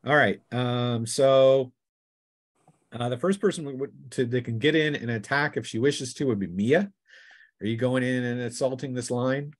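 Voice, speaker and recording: A man's voice: medium pitch, moderate pace. A man acting as game master of a tabletop role-playing game, narrating casually off the cuff and throwing in vocal sound effects. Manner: acts out sound effects and cries.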